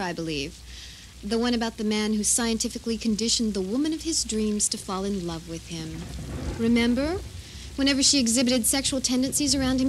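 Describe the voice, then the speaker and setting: A woman playing a bitter, vengeful captor in an audio drama, speaking with sardonic, deliberate menace. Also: fairly high pitch, close to an everyday voice. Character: vengeful doomed female captor, bitter, taunting, coldly menacing. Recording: faintly noisy radio drama recording.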